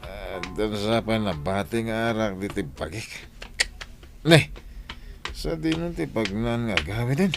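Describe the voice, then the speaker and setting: A man's voice: lowish voice, expressive, measured pace, creaky, long pauses, faint music behind. A man playing an irresponsible, hard-drinking village father in a comedy radio drama, dismissive and full of grunts. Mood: carefree. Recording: some background noise.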